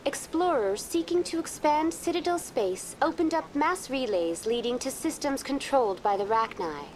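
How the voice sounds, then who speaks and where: A woman voicing a polite virtual guide program in a video game, formal and measured, narrating like a reference source. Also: sits high. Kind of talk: scripted game lore narration. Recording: faint ambient noise behind.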